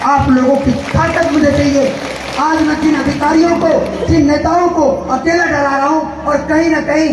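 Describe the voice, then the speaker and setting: A man from giving an impassioned political speech to a crowd, shouting and firing off rhetorical questions. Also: very high-pitched, level tone, moderate pace. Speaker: rally speaker. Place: outdoor rally ground. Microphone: public address mic heard from a distance. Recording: echoey outdoor PA from far off, crowd noise. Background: crowd murmur.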